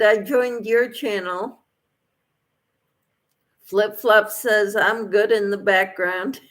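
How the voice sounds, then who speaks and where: A woman hosting a casual livestream, reading out viewers' comments and replying to them in an encouraging way. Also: medium pitch. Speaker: livestream host.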